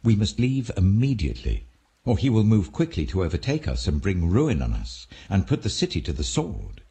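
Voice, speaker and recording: Guy reading as a weary old british king, commanding but resigned, really deep, voice rises and falls a lot, audiobook. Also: huge pitch swings, moderate pace, dark tone, husky, exaggerated voice. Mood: urgent, grave.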